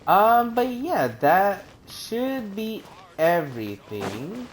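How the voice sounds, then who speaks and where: A man's voice: high-pitched, big pitch swings, very slow. A man streaming a video game, reading menu text aloud and chatting casually with many fillers and restarts.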